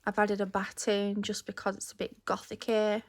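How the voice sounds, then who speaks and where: A woman giving a casual, unscripted show-and-tell in a chatty, halting way, her voice slightly strained as if starting to go. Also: mid-range voice, monotone. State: slightly losing her voice, clearing throat. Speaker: crafter presenting her handmade journal.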